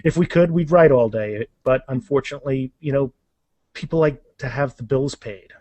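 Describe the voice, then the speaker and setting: A man's voice: mid-range voice, moderate pace, crowd noise. A man chatting casually and enthusiastically as a guest author in a podcast interview.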